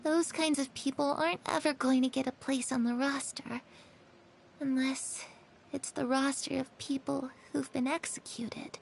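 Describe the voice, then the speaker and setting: A haunted little game girl, with a high voice that creaks at the edges. She speaks slowly and ominously, hushed as if sharing dark secrets, over some faint background noise. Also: fairly even intonation. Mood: ominous, secretive. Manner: slow, hushed, ominous delivery. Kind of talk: video game voice acting.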